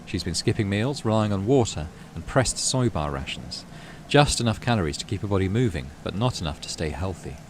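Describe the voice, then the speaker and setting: Deep-voiced, very expressive male game narrator. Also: brisk, hardly any pauses. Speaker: gaming channel host. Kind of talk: dramatic read-aloud narration of a game story with casual asides. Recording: clearly audible background noise.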